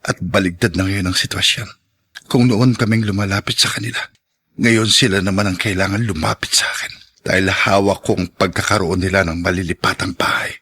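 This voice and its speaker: A man's voice: low voice, fairly even intonation, fairly quick, sharp tone, slightly rough, full character voice. A man playing a bitter father set on revenge, in a radio drama. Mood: vengeful.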